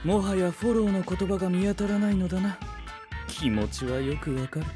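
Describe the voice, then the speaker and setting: A man's voice: sits high, a little fast, music in the background, close to an everyday voice. A male voice actor playing a haughty, suspicious young inventor in an anime-style audio drama, speaking in a stiff, formal, lecturing way. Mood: dry, scornful.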